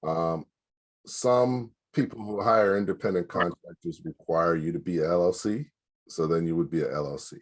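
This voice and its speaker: Guy with a really low voice, laid back, lots of um and you know, talking business tips. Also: normal speed. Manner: loose and practical, many fillers. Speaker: speaker advising small business owners. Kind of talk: casual advice talk.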